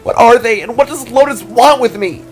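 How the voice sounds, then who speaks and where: A man's voice: extremely high pitch, normal speed, soft background music, pitched above the performer's own voice. A man voicing a frightened twelve-year-old boy who pleads anxiously in a fantasy story. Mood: pleading, upset.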